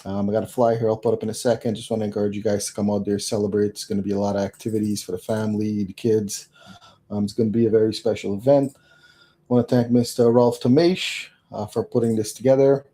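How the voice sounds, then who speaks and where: A man's voice: on the low side, flat delivery, fast, mellow. A man hosting a live talk show, making announcements and welcoming a guest in an upbeat way with frequent fillers. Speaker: show host.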